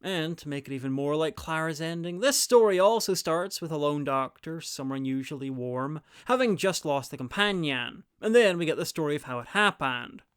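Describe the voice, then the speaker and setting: Casting: male review host for scripted narration, higher-pitched, clean and clear. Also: animated, normal speed, few pauses, a bit bright, no echo, clean studio sound.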